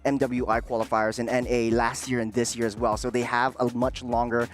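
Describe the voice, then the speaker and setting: A man's voice: medium pitch, level tone, a little fast, a little hiss or hum. A man from Singapore working as an esports analyst, giving unscripted panel analysis with frequent self-corrections.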